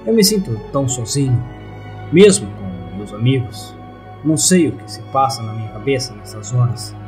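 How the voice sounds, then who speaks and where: Guy reading as a lonely depressed horror story narrator, somber, middle pitch, music behind. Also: sing-song, normal speed, natural voice. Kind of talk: scripted first-person horror narration.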